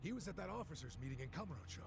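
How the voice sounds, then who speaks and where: Serious, gravelly game sidekick. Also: male voice, a little high, expressive, racing. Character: serious level-headed male companion. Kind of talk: video game dub acting. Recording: game music behind.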